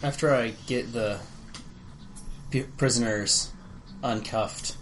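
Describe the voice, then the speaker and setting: A laid-back man playing a tabletop roleplaying game, speaking at an ordinary middle pitch with dry, offhand remarks. Faint music plays underneath him. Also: steady tone, slow. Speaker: player.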